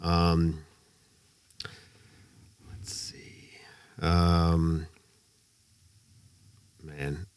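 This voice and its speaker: A middle-aged man from the United States with a lowish voice talks in a loose, halting way, full of fillers and easy laughs. The sound is close and dry. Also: level tone, clean tone, no echo. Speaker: podcast guest, drummer. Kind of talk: casual conversation.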